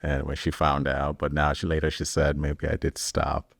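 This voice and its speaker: Founder from Kenya, middle-aged man, very low flat gravelly voice, dry close sound. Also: moderate pace, no echo. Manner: relaxed, rambling, many fillers and restarts. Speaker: business founder. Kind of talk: interview answers.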